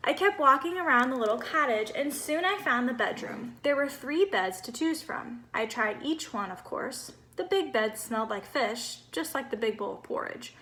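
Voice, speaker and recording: Little snowman read by a woman, high voice, bubbly, innocent, cheerful storybook narrator. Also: lively intonation, normal speed, few pauses, fairly bright tone, rough recording. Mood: chatty, innocent. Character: cheerful, naive little male snowman telling his story.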